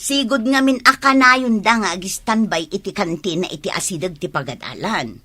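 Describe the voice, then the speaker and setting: A woman's voice: medium pitch, moderate pace, bright, forceful tone, slightly rough, no echo. An actress playing a nosy, teasing village woman in an Ilocano radio drama, prying with mock innocence.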